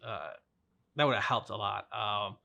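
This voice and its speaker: A man co-hosting a game reaction video, speaking haltingly, with many fillers, and trailing off. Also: on the low side, gravelly, sharp tone. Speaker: co-host of a game reaction channel. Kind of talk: casual reaction chat.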